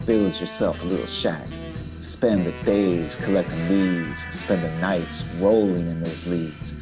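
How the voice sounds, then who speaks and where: A man playing a deadpan, wry, lecherous hardboiled narrator in a humorous noir radio serial. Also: low voice, full character voice. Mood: dreamy, lecherous. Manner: deliberate, deadpan.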